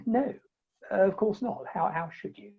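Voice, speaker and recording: An actor playing a flustered, evasive gentleman in a comic radio farce, pompously formal and stammering, recorded over a video call. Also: high-pitched, moderate pace, vocal fry, slightly rough, full character voice. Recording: dull, lo-fi video-call sound.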